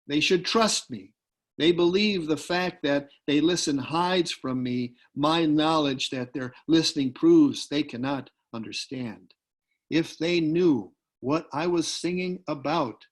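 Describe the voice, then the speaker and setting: A man voicing a proud, aloof female mouse singer in a dramatic literary reading, with a lofty, declamatory manner. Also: a little high.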